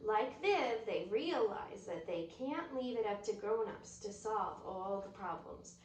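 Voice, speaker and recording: A woman reading a children's book aloud with expressive, storytelling delivery. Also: medium pitch, a little slow. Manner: expressive, drops to whispers at quiet moments. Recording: roomy echo, distant mic, faint noise.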